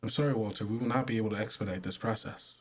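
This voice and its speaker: A man playing a gentle but insistent female religious authority, speaking formally, haltingly and patiently in a tabletop game. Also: fairly low pitch, natural voice. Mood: calm, unyielding.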